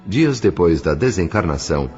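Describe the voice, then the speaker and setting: A Brazilian man with a very low voice narrates an audio novel. His delivery is solemn and measured, and the sound is a little dull, with soft music behind. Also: very animated.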